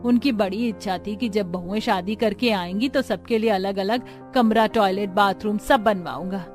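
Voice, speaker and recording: A woman's voice: medium pitch, normal speed, soft background music, lightly acted. A woman voicing a poor, aging village mother-in-law, caring and sorrowful, with homely rustic phrasing, in a cartoon story. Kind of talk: Hindi cartoon story dialogue.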